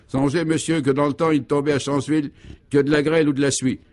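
A man's voice: fairly high pitch, little pitch movement, quick pace, pitched above the performer's own voice, natural voice. A man voicing a ragged, absurdly optimistic townsman in a comic radio serial, polite, emphatic and delighted.

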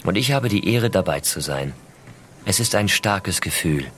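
Casting: tender astronaut dad in an audio drama, very low voice, intimate letter reading, ambient noise behind. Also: male voice, expressive, moderate pace, a bit bright. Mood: proud, earnest. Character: American astronaut father writing tenderly to his small son.

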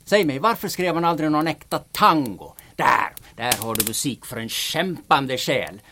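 An actor playing a gruff, gloomy man who keeps his rifle close and scorns his companion's chatter. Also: fairly high pitch, animated, sharp tone, a little hiss or hum. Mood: grumpy. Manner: grumpy, scornful. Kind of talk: audio drama acting.

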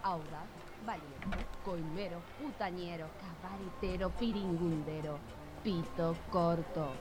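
A woman's voice: fairly low pitch, slow pace, over music. A woman playing a dramatic announcer in the introduction of a parody radio serial. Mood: deadpan, dramatic. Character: dramatic female announcer extolling a shady hero.

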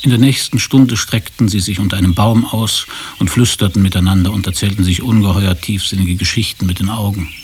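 A man's voice: fairly low pitch, steady tone, fairly quick, hardly any pauses, darkish tone, slight background noise. A man narrating a scripted radio drama as storyteller.

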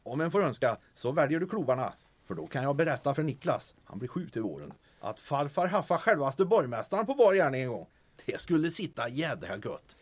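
A man's voice: mid-range voice, sing-song. A man playing a folksy, pompous older detective who bumbles through his official lines in an audio drama. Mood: gleeful, proud. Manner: pompous, bumbling, coarse colloquial talk. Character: blustering, folksy grandfather moonlighting as hotel detective, arresting officer.

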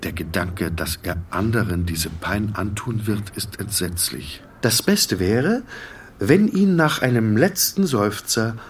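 A man reading scripted literary narration for a radio drama in a measured, sober manner. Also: on the low side, animated, recorded from a distance, a little hiss or hum.

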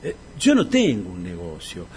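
An actor playing a self-pitying, debt-ridden man pining for his ex-wife in a comic radio drama, with a husky Argentine Rioplatense accent. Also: deep voice, huge pitch swings, moderate pace, a little mellow, slight background noise. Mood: indignant.

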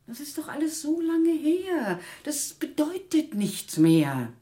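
A woman's voice: high voice, sing-song, takes it slowly, warm tone, heavily acted. An actress playing a steadfast, reproachful older foster mother in a German radio drama, speaking firmly and insistently. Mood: practical, questioning.

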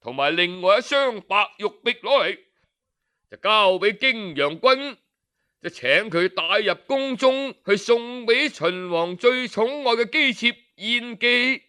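A man telling a traditional tale as an audiobook storyteller, colloquial and expressive, with rhetorical questions and exclamations. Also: high voice, takes it slowly, clear, resonant tone.

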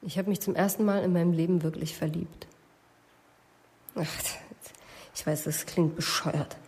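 A woman's voice: on the low side, fairly even intonation, moderate pace, a little mellow, husky. A woman acting in a German radio drama as a candid, defensive young woman being questioned, frank and slightly scornful.

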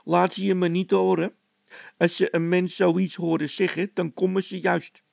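A man's voice: high-pitched, level tone, moderate pace, creaky, darkish tone, no echo, pitched above the performer's own voice. A man voicing a nervous, grumbling labourer who is scared of ghosts, with a whining, worried way of talking.

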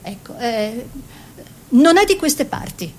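A proud, anxious painter's wife and mother, once a country model. Her voice sits on the high side and is emotive, hesitant and given to repetition. It is intimate radio theatre with some low, rumbling noise behind it. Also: very animated, slow pace, darkish tone. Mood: agitated, protective. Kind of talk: intimate theatrical radio drama, reminiscing dialogue.

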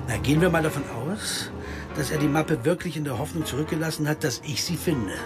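A man's voice: fairly high pitch, brisk, natural voice. An actor playing an earnest American man who investigates a disappearance and narrates his search in a radio crime drama. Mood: intent, brooding. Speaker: first-person narrator and lead character. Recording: music behind the voice.

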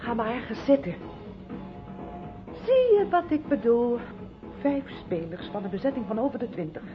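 A woman's voice: a little high, big pitch swings, moderate pace, music in the background. An actress playing an earnest, assured woman who directs an amateur theatre group, in 1970s radio play style. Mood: brisk. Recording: muffled, noisy old radio recording.

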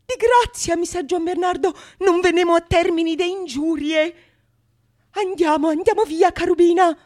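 A woman's voice: very high-pitched, normal speed, a little mellow, voice pushed up. A woman playing a foolish, indignant cuckolded husband in a theatrical Renaissance comedy, exclaiming and protesting. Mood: pleading.

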